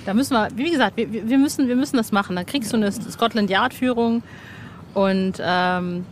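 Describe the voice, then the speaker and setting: A woman's voice: medium pitch, moderate pace. A woman hosting a casual, chatty interview with gushing enthusiasm, often correcting herself as she goes. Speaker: podcast host interviewing an author. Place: outdoor roof terrace. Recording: ambient noise from an outdoor terrace.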